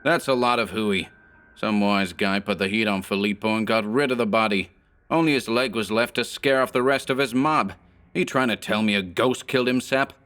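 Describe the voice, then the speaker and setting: A man's voice: on the low side, moderate pace, a bit bright, natural voice. A man voicing a short, sallow gang boss who talks in harsh, clipped tough-guy slang. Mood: scornful.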